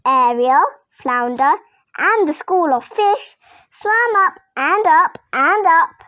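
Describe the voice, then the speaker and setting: Very high-pitched young girl reading slowly. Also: dry recording, dull audio. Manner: expressive, deliberate storytelling with acted dialogue. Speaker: storytime host reading aloud. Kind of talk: children's story read-aloud.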